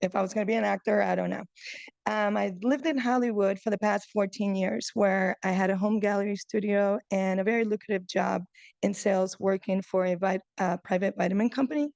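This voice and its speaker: A woman's voice: mid-range voice, fairly even intonation, brisk, vocal fry, a little mellow, no room sound, muffled recording. A woman in her forties, from Chile, giving a nervous talk about her art gallery, reading from her phone, with many ums and uhs. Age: 40s. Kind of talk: nervous public speech read from a phone. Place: breakfast meeting room.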